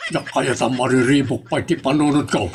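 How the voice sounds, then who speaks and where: A man's voice: mid-range voice, fairly even intonation, moderate pace, a little hiss or hum, close to an everyday voice. A man acting a blustering, whining cheat in an Ilocano-accented comedy drama, exclaiming as he talks. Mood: flustered.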